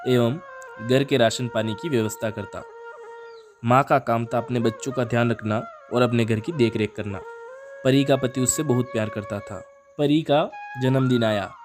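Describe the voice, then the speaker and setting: Guy narrating a kids animal story, normal pitch, scripted, music playing behind.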